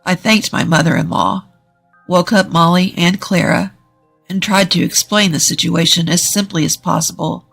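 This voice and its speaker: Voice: medium pitch, creaky, a bit bright. A narrator reading a scripted first-person story, as a native English speaker. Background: music.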